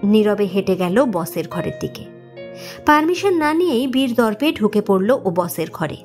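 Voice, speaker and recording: A woman reading a scripted story as narrator in an expressive, dramatic manner. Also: medium pitch, normal speed. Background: background music.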